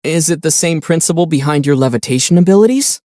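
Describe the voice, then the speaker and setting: A man playing a calm young adult traveler hero in a video game, with a playful, teasing and wry way of talking. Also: a little high, fast talker, no echo, clean studio sound, lightly acted.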